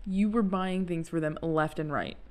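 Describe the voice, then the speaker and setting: A woman playing a protective, exasperated friend in an intimate audio roleplay, speaking in a natural, halting, emphatic way. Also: fairly low pitch. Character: protective, exasperated friend secretly in love, driving someone home.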